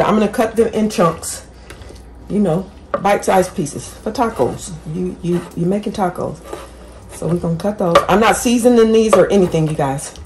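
A woman's voice: on the low side, a little mellow, slight background noise. A woman hosting a casual cooking tutorial from her home kitchen, chatting straight to viewers and correcting herself as she goes. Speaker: cooking channel host.

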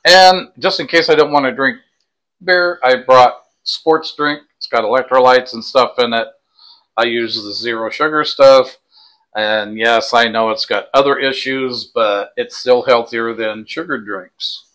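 Man, sixties, vlog co-host with a mid-pitched voice, chatting casually and giving tips indoors. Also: measured pace, fairly bright tone, rough recording. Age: 60s. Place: indoors in a small home kitchen and bedroom. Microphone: clip-on lapel mic.

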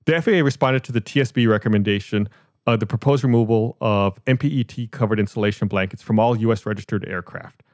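A man's voice: on the low side, fast, a little mellow, no echo, clean studio sound. An American man hosting a podcast, reading out and explaining a report in a matter-of-fact way. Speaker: podcast host.

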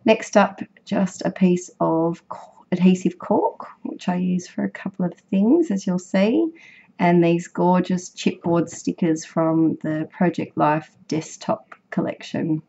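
Croaky-voiced young woman chatting. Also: lowish voice, steady tone, slow pace, mellow. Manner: chatty, rambling, with fillers and self-corrections. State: croaky, sick voice. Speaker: scrapbooking vlogger. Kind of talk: casual voice-over narration of a craft process. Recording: dull lo-fi home recording.

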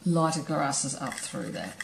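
Low, gravelly woman teaching painting, relaxed, thinking aloud, echoey studio room. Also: slow pace, slight background noise. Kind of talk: painting demonstration narration. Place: studio table, camera overhead.

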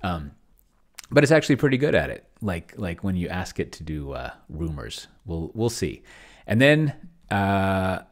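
A man's voice: low-pitched, big pitch swings, measured pace. A middle-aged man giving casual, unscripted livestream commentary, rambling as he thinks aloud and trailing off into mumbles. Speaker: host of a tabletop roleplaying experiment stream.

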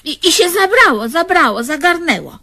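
An actress playing a fussy, devoted elderly pensioner in a comedy radio play, speaking hesitantly and stammering over repeated words. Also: female voice, extremely high pitch, sing-song, moderate pace, bright, forceful tone, full character voice. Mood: mildly reproachful.

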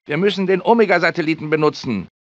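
A man's voice: fast, dry recording, close to an everyday voice. A man voicing a fussy, anxious research scientist for a German video game dub, with theatrical, precise delivery. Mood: earnest. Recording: dry, muffled low-fi game audio.

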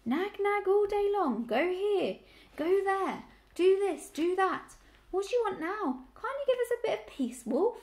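A woman voicing a sour, complaining old lame ewe in a storybook, with a hoarse voice and rustic dialect. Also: extremely high pitch, lively intonation, unhurried, a little mellow, pitched above the performer's own voice.

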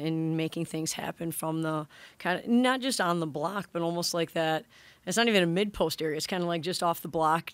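A woman in her forties, a head basketball coach, answering questions at a postgame press conference in a measured way, often restarting her sentences. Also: fairly low pitch, smooth, dry recording. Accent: American. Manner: measured, frequent restarts and "you know". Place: press room in front of a sponsor backdrop. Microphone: desk microphone on a stand.

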